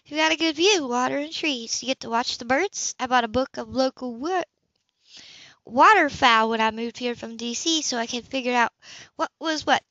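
A woman voicing a warm, friendly young female social worker in a lilting, encouraging way, for an audiobook. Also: sits high, moderate pace, no echo, dull audio, voice pushed up.

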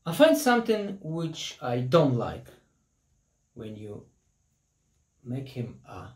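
Slow, lively non-native man reviewing a laptop. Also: medium pitch, roomy sound. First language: not English. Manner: apologetic, plainspoken, halting non-native phrasing. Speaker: tech reviewer. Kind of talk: casual product review. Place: hot room at home with a construction site nearby. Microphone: laptop built-in mic and camera. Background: buzzing construction noise.

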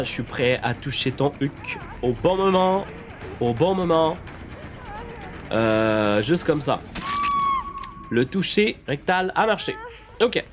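A young man streaming a game live, chatting to his viewers, a little nasal from a cold, repeating himself when excited. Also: medium pitch. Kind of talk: live gameplay commentary.

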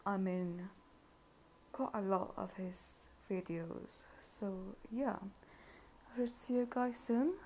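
A woman's voice: mid-range voice, slow, a little mellow. A woman giving casual, hesitant commentary as a reviewer in a reaction video. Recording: faint road traffic noise. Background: cars on a busy road.

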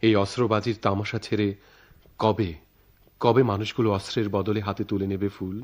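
An actor playing an idealistic young writer in love in a radio drama, poetic and earnest, with a Bangladeshi accent. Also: male voice, on the low side, little pitch movement, a little fast, lightly acted. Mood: sorrowful, idealistic. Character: romantic, idealistic young male writer, devoted eloping lover. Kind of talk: theatrical radio drama acting.

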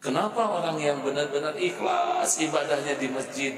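A middle-aged man giving a casual sermon into a handheld microphone, often asking the audience to agree and moving between soft and loud. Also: on the high side, moderate pace. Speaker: preacher. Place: large mosque hall, indoors. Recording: echoey hall from far off.

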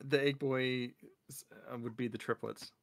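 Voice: medium pitch, fairly even intonation, normal speed. A livestream co-host chatting casually in an informal, sweary way.

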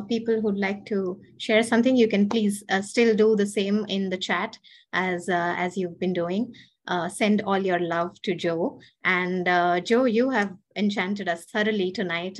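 A woman hosting a poetry evening on a video call, gracious and a little halting with filler sounds. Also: mid-range voice. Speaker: host.

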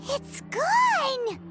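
A tiny, excitable fairy sidekick from an anime-style game. The voice is childish and piercingly high, bouncing around with nosy, exclamatory energy. Music sits behind it. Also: female voice, heavily acted. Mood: amazed. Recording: background music under the voice.

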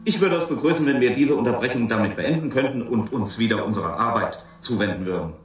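Actor as brisk committee man, big echo. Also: mid-range voice, lively intonation, natural voice. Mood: impatient. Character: brisk, impatient male committee member. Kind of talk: German radio drama acting. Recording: very echoey chamber.

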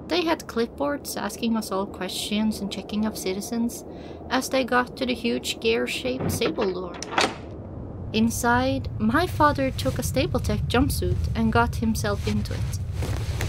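A woman's voice: a little high, noisy background. A woman reading a scripted first-person story as an audiobook narrator. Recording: loud background music under the voice. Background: loud music.

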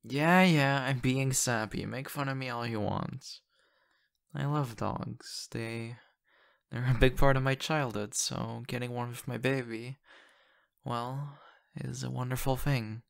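A man playing an excitable, sappy young boyfriend in an audio roleplay, talking in a gushing, hesitant, stammering way into a close mic. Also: medium pitch, slow, vocal fry. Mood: sappy, affectionate. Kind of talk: ASMR audio roleplay, conversational acting. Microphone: close condenser microphone.

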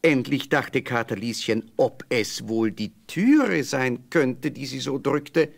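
A man's voice: medium pitch, lively intonation, takes it slowly, vocal fry. A man narrating a scripted fairy tale as the storyteller of an audio play.

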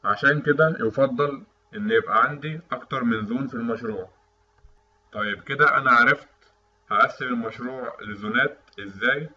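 Egyptian guy explaining technical stuff like a teacher, mid-pitched voice, kinda muffled lo-fi recording. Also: bright, forceful tone. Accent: Egyptian Arabic. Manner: didactic, asks and answers own questions. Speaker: instructor. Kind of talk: explanatory technical lecture over slides. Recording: dull, lo-fi voice-over recording.

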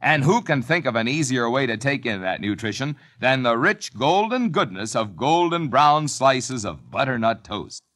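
Man, old radio commercial, persuasive, animated pitch. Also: normal speed, few pauses. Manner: upbeat, persuasive selling. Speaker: commercial announcer. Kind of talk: 1950s radio ad read.

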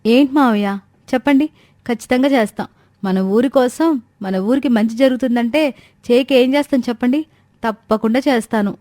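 A woman's voice: on the high side, normal speed, mellow, no echo, close to an everyday voice. A woman voicing an eager, naive young daughter-in-law in a cartoon moral story, confident and respectful as she explains. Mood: willing. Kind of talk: animated Telugu moral story dialogue.

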